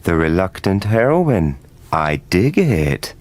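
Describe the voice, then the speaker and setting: An eccentric movie director in a video game cutscene. His delivery is airy and pretentious, with very lively rises and falls. He stays earnest and unflappable, treating everything as art. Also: low-pitched, slow pace, exaggerated voice. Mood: intrigued. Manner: pretentious, earnest artistic talk.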